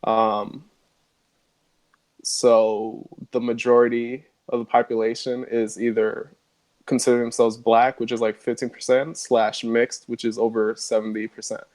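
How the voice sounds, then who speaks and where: A man from the Dominican Republic, a guest on a discussion show, explaining calmly and sounding a little sleepy. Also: medium pitch, measured pace, dry recording, low-quality recording. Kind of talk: casual discussion.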